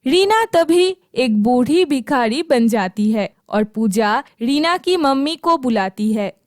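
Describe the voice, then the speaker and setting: A woman's voice: high voice, animated, takes it slowly, no echo, clean studio sound. A woman narrating a scripted children's story.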